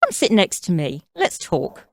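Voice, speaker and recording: A woman's voice: on the low side, huge pitch swings, measured pace, gravelly, no room sound, studio quality, heavily acted. Woman acting a flirtatious, scheming mother-in-law. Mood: flirtatious, coaxing. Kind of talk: audio drama character acting.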